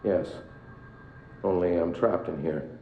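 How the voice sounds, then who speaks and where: An actor playing a trapped, eerily detached research scientist, halting and evasive, heard over an intercom. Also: male voice. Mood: guarded. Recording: muffled, echoey, noisy intercom with rumble.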